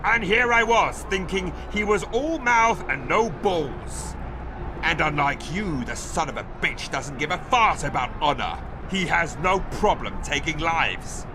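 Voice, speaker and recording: An actor in a video game playing a mocking, gloating master of a fighting pit, with a sneering, theatrical, taunting delivery. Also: male voice, fairly high pitch, expressive, a little slow, background noise. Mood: mocking menace. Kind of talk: dramatic video game voice acting.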